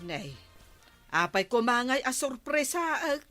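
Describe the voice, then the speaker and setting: A woman's voice: sits high, big pitch swings, unhurried, sharp tone, faint music behind, heavily acted. A woman with an Ilocano accent playing a homely, chatty village woman in a radio comedy drama.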